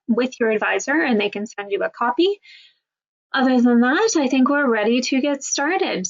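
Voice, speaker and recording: Higher-voiced woman moderating a webinar. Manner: friendly, instructive. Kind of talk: hosting a webinar. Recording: dull lo-fi webinar audio.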